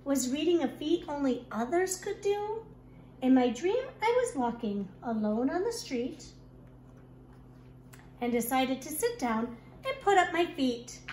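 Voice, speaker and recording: A woman reads a rhyming children's book aloud like a kindergarten teacher, expressive and deliberate. Her pace is slow and her voice is high. The recording has clear noise behind her. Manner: expressive, deliberate storytelling delivery. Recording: echoey room with clear background noise.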